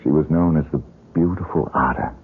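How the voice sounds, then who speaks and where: An actor playing a troubled, bitter young man in an old-time radio mystery, speaking in a halting, dramatic, husky way. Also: extremely low pitch, slight background noise. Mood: brooding. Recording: muffled, lo-fi radio drama recording.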